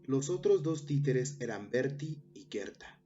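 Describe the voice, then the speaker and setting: A man narrating a scary story in a measured way, with dramatic pauses. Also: mid-range voice, expressive, warm tone. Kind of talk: scripted horror story narration. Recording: dull lo-fi recording with music underneath.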